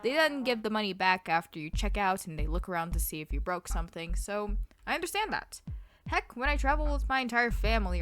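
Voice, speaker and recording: A nineteen-year-old from Canada whose first language is Russian, telling a story in a casual, animated, self-deprecating vlog. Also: medium pitch. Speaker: vlogger.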